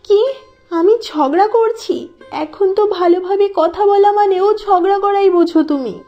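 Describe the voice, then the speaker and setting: A woman's voice: extremely high pitch, normal speed. A woman voicing a quarrelsome, easily annoyed village housewife in a cartoon. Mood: indignant. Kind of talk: Bengali cartoon voice acting. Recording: dull audio with music underneath.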